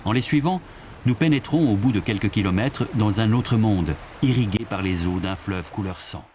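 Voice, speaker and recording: A man giving scripted documentary narration in a measured, even delivery. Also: lowish voice, slight background noise.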